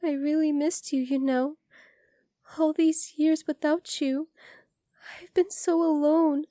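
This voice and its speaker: A woman plays an ageless female fox spirit with a high, mellow voice, clinging and devoted to her master. She speaks tenderly and very intimately to one listener, in the close, dry sound of an ASMR roleplay. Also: steady tone, slow, dry recording. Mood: grieving, lonely. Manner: soft, tender, intimate, addressing the listener directly.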